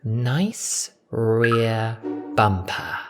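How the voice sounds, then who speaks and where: A man's voice: fairly low pitch, extremely slow, over music. A man voicing a vain, vengeful aristocratic woman in a snooty, theatrical way for an audio story. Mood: huffy, flustered. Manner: snarling, huffy, theatrically posh. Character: haughty, vain, vengeful aristocratic villainess in a stolen body.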